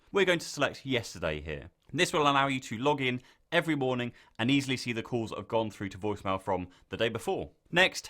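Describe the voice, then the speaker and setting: Man narrating a step-by-step software tutorial. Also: medium pitch. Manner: clear step-by-step instruction. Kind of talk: scripted instructional narration.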